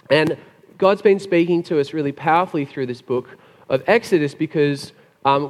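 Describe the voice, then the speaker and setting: Gravelly man preaching in a hall. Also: fairly high pitch, darkish tone. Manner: chatty, joking asides. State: hoarse after being sick. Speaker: pastor. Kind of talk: sermon. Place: church hall. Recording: long hall reverb.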